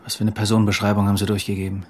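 An actor playing a young, armed escaped convict in a radio play, terse, commanding and quietly threatening, with car noise around him. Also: male voice, completely flat delivery, very fast, natural voice. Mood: curt, menacing. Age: young adult. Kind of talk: German radio play acting. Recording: loud rumbling car noise.

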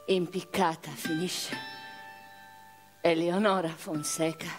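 A woman acting in radio theatre, delivering a dramatic first-person monologue as a proud, reflective noblewoman with deliberate phrasing. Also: fairly low pitch, little pitch movement, music in the background. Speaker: actress in a radio play.

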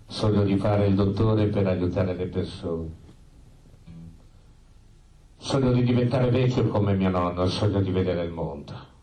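The recording is echoey and distant from the microphone. A man with a deep voice delivers a theatre monologue as narrator. His storytelling is solemn and deliberate. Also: warm tone, faint music behind.

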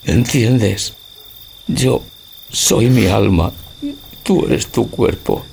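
A man's voice: lowish voice, very slow, vocal fry, a little mellow, slight background noise, heavily acted, rough recording. A man playing a lovelorn, brooding old husband in a theatrical radio tragicomedy, with grave, poetic declamation. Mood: dying, anguished. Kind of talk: theatrical Spanish radio drama acting.

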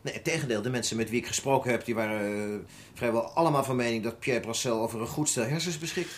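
An actor playing a sceptical young police detective, an eager sidekick in a radio drama, animated and quick to protest. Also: male voice, mid-range voice, husky, lightly acted. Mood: matter-of-fact. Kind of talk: Dutch radio drama acting.

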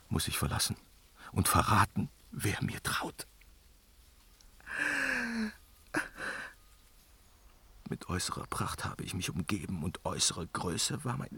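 A man's voice: low-pitched, creaky, fairly bright tone, husky, full character voice. An actor playing a weary, tormented, commanding father god in a fantasy radio drama, solemn and brooding, slipping into whispers. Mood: bitter despair. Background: dramatic music.

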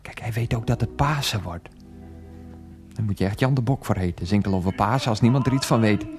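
An actor playing a flippant, bossy lover in a radio play, talking in hushed, coaxing tones with dry irreverence. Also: male voice, lowish voice, brisk, close to an everyday voice. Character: Freek, soothing, flippant, bossy lover sneaking port at a concert. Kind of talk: 1990s Dutch radio play acting. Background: classical choral music.

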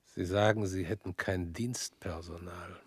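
An actor in a radio drama playing a stern male revolutionary who speaks in measured, pointed lines and often drops to a hushed whisper. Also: low voice, steady tone, husky, no room sound. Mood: probing. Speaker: revolutionary visitor.

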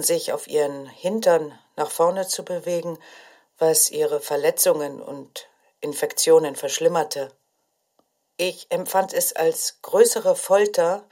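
An actress playing a mother who survived prison, giving sombre, measured testimony in an audio drama. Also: low voice, mellow.

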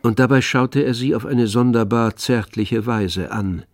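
A man narrating a literary radio drama in a measured, storytelling manner. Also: mid-range voice, a little mellow, no echo. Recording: dry studio, faint music underneath.

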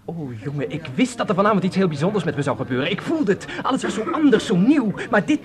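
Flamboyant romantic young charmer. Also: male voice, high voice, very animated, quick pace. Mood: delighted, smitten. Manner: theatrical, impulsive, smooth-talking. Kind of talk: Dutch radio play acting. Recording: radio play with crowd behind.

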